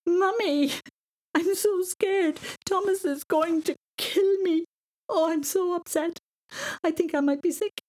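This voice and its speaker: A woman's voice: lowish voice, steady tone, measured pace, vocal fry, dark tone. Whiny, devious spoiled little girl. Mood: wailing, fake distress. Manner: mock baby talk, theatrical sobbing.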